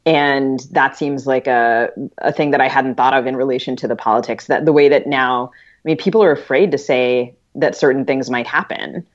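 A woman's voice: rumbling low, moderate pace, dull audio. A woman co-hosting a podcast, talking thoughtfully about literature and searching for her words as she goes. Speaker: podcast co-host.

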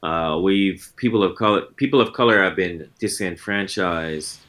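A man's voice: sits low, normal speed, a bit bright. A man in his forties talking as a guest in an interview, laid-back and reflective, often circling back on his thoughts. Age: 40s. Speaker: guest musician. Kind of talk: casual interview talk.

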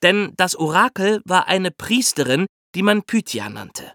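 Male podcast explainer, bright tone, very lively intonation, colloquial storytelling style. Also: high voice, measured pace, dry recording, studio quality. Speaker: podcast host explaining history.

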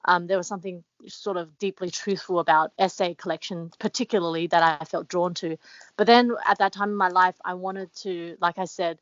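Reflective woman, low voice, dry sound. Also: steady tone, fairly quick, no echo, dull audio. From Australia. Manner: reflective, many fillers and restarts. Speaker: author guest. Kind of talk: casual interview talk.

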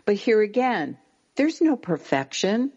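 A middle-aged woman with a low voice, a parenting expert giving calm, deliberate advice in an interview. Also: breathy edge, highs cut off. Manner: calm, deliberate, slows words for emphasis.

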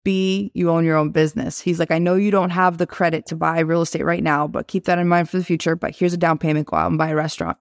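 Young woman from albania talking fast and bubbly in an interview, dry room, nothing behind her. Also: deep voice, no room sound. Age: 20s. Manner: energetic, chatty, quick to laugh. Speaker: guest. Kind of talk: casual interview talk.

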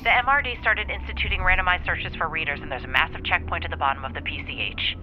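A woman's voice: fairly low pitch, fast talker, lo-fi sound, soft background music, natural voice. A woman playing an urgent, protective sister and cop in an audio drama, giving terse, insistent orders over the phone.

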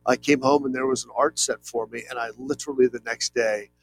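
A man's voice: mid-range voice, steady tone, fairly quick, rough recording. A man in his late fifties, a painter giving an interview, talking in an earnest, rambling way with many fillers. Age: 50s. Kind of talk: reflective conversational interview.

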